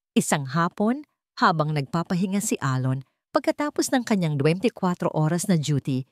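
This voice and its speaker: A woman's voice: lowish voice, warm tone, no room sound, clean studio sound. A woman with a Filipino accent, narrating a scripted love story in a dramatic storytelling style.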